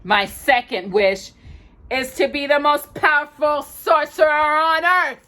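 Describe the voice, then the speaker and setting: A woman voicing a cruel, power-mad male sorcerer in a storybook, with theatrical, drawn-out taunts and commands. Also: high voice, sharp tone, pitched above the performer's own voice. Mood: commanding, gloating.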